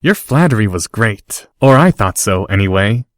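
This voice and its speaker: A man's voice: lowish voice, lively intonation, normal speed, no room sound, clean studio sound, lightly acted. A man voicing a proud, snobbish rich boy who talks down to others. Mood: haughty. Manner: lofty, condescending.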